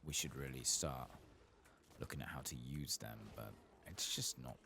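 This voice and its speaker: A man softly murmuring casual, unhurried ASMR commentary over a video game, sometimes whispering, close to the microphone. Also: rumbling low, creaky, slight background noise. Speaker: gaming ASMR streamer.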